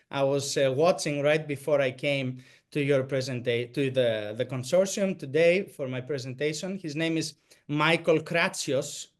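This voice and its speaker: A man with a Greek accent answering a question after a presentation, halting, with many restarts and fillers. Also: a little high. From Greek American.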